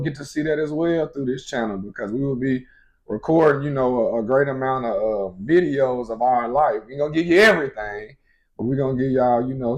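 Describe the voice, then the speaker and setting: A man's voice: medium pitch, a little mellow. A man in his twenties chatting casually in a couple's Q&A video, loose and easygoing, full of fillers. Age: 20s. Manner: laid-back, frequent fillers like "you feel me". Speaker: vlogger, expecting father.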